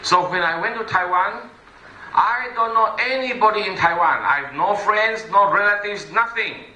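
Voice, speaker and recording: Bright, emphatic man. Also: high-pitched, moderate pace, echoey room, slight background noise, rough recording. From Malaysia. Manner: emphatic, repetitive, addresses the audience directly. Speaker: motivational business speaker. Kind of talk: stage presentation speech.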